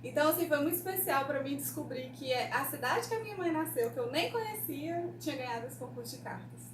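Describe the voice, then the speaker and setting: A woman's voice: high voice, expressive, fast. A young Brazilian woman, a radio producer, talking casually and personally in an interview. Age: young adult. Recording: echoey room, distant mic, background noise.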